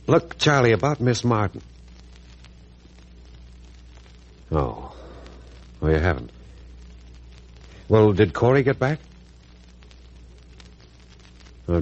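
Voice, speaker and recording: An American actor playing an earnest, stubborn, troubled physician husband in a 1940s radio drama. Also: male voice, fairly low pitch, lo-fi sound. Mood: anxious. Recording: old 1940s radio recording.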